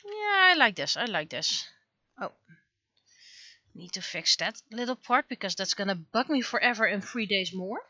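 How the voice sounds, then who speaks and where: A woman's voice: medium pitch, very animated, moderate pace, sharp tone, lo-fi sound. A woman casually thinking aloud in short fragments as she works on art during a livestream. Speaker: livestreamer, digital artist. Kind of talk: casual livestream commentary while drawing.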